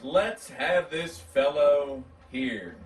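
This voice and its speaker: A man voicing a jolly, commanding old Santa Claus with slow, booming proclamations. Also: lively intonation. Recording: roomy, distant mic, background noise.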